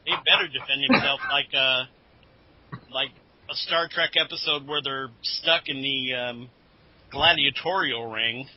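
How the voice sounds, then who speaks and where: A man's voice: mid-range voice, fairly even intonation, unhurried, slight background noise. A man co-hosting a podcast in casual group chat, joking and teasing the others. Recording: dull lo-fi audio.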